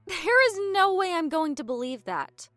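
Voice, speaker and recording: A woman playing a brisk, skeptical, no-nonsense adventurer in an audio drama. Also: extremely high pitch, sing-song, a bit bright, no room sound, soft background music, heavily acted. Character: no-nonsense female adventurer with werewolf powers.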